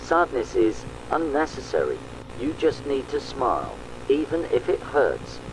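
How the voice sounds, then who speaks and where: A man's voice: medium pitch, wildly swooping pitch, unhurried. Eerie happy man acted, lilting through heavy noise. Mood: eerily serene. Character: eerie happy man insisting everyone must smile.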